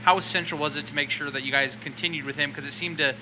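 A man's voice: fairly high pitch, steady tone, quick pace, noisy background. A man, a reporter, asking a coach a long question at a press conference.

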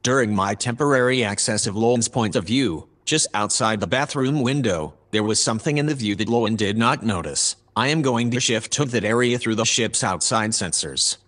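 An actor playing a polite, precise AI observer in an audio drama, speaking in a formal, measured way. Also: male voice, lowish voice, fairly bright tone. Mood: calm, formal. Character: polite, precise male AI observer aboard a spaceship.

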